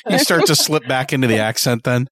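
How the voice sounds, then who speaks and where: An American man hosts a casual interview in a conversational style. His pitch is average but moves around a lot, and the recording is dry with no room sound. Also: animated, a little fast, a bit bright, no echo. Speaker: podcast host. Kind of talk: casual chat, interviewing guests.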